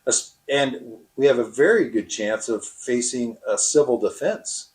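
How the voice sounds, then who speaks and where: A man explaining his experience as a radio operator in an interview, with halting, deliberate phrasing. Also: medium pitch, animated, darkish tone.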